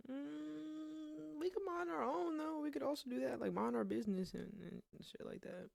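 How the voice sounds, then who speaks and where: A man's voice: very high-pitched, normal speed, creaky, dry recording. A man giving casual, slangy, offhand reaction commentary with some swearing. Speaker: reaction video host.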